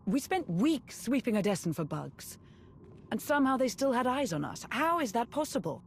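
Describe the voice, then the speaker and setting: An actress playing a cautious, determined military adviser in a video game, giving serious, measured briefings. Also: female voice, fairly high pitch, very animated, a little hiss or hum. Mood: frustrated. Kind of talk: dramatic video game cutscene acting.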